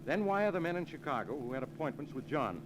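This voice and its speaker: Cool, commanding schemer in an old radio mystery; animated delivery, clearly noisy vintage recording. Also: male voice, a little high, fast talker. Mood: smug, calculating. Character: scheming, self-assured male murderer and secret lover. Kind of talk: 1940s radio mystery acting.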